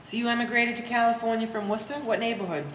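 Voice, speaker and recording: A woman's voice: a little high, fairly even intonation, rapid-fire, natural voice. Woman acting dry bitter older daughter, pompous wording, stage play, echoey room, faint noise. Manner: dry, pompous big words. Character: sardonic, bitter sixty-year-old Polish-American daughter. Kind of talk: amateur staged play reading.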